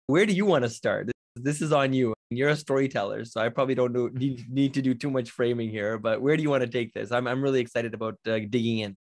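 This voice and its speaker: A man in his thirties hosting a casual live interview over a video call, chatty and upbeat, often restarting his sentences. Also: medium pitch. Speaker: podcast host. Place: home studio. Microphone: studio mic on a boom, seen in frame.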